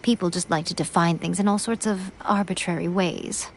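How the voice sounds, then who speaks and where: A woman's voice: fairly low pitch, steady tone, normal speed, slight background noise, close to an everyday voice. Woman voicing a coy, teasing shrine maiden. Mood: airy, knowing. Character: playful, self-assured, teasing, cunning shrine maiden. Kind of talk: video game voice acting. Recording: music under the voice.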